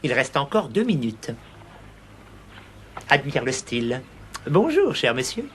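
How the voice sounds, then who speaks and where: An actor voicing an excitable, eager and clumsy would-be butler in a French cartoon dub, flustered and theatrical. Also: medium pitch, slow pace, slight background noise, full character voice. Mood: eager, nervous.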